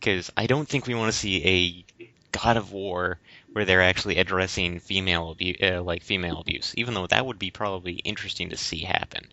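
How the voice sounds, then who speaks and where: A man co-hosting a casual debate podcast, speaking in a halting, stammering way. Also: deep voice, fairly even intonation, bright, forceful tone, no echo. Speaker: podcast co-host. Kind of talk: casual debate-style chat. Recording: slightly dull, lo-fi audio.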